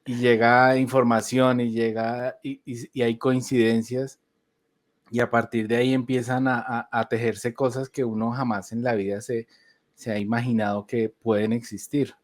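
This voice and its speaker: A man's voice: mid-range voice, little pitch movement, normal speed. A man co-hosting a podcast interview, effusive and hesitant, repeating words as he builds to a question. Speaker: podcast co-host.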